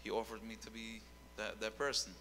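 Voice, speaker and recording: A bright, clear male voice with a long hall reverb around it. He is a young singer telling his story on stage into a handheld mic. He talks loosely and repeats words as he finds them. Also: on the low side, little pitch movement, fairly quick, a little hiss or hum. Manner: rambling, repeats words while searching. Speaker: guest singer. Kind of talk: casual interview on stage. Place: dark stage with keyboard.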